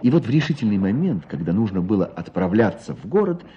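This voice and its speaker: An actor playing an earnest, compassionate storyteller, narrating village life in a radio drama. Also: male voice, on the low side, big pitch swings, fast, breathy edge, heavily acted. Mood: solemn. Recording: dull muffled radio play recording.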